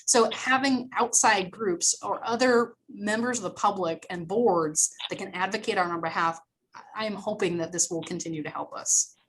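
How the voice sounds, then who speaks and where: A woman on library staff talks a meeting through its options over a video call. Her voice sits at a middle pitch, and her delivery is conversational and explanatory, with frequent restarts and fillers. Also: normal speed, a bit bright, a little hiss or hum, poor audio. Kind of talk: meeting discussion.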